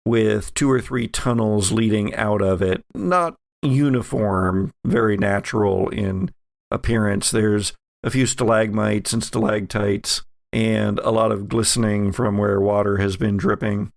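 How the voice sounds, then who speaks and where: Guy game mastering a horror rpg, real slow and halting, lots of vocal fry. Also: on the low side, steady tone, no echo. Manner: halting, pausing mid-phrase to find words. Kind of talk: improvised scene narration for a horror tabletop game.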